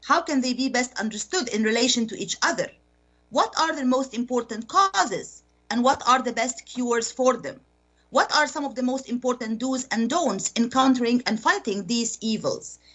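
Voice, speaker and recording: A woman radio host with a fairly high voice speaks in a polished, formal way. She reads a prepared introduction and then puts careful questions to her guest in a studio. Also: expressive, moderate pace, a bit bright. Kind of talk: formal radio interview hosting.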